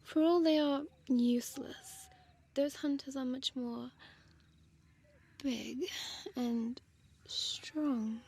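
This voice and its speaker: A woman plays a female vampire toying with her prey in an intimate audio roleplay. Her voice is fairly high and breathy, often sinking into whispers. She speaks extremely slowly, mocking and condescending, with a seductive predator's menace. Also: steady tone, mellow, exaggerated voice. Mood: mocking, teasing. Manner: slow, teasing, condescending, formal diction.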